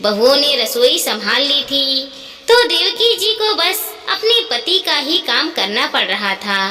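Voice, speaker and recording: A woman narrating a scripted family story in an expressive, dramatic storytelling manner. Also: high-pitched. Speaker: narrator of moral stories. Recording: echoey, long reverb.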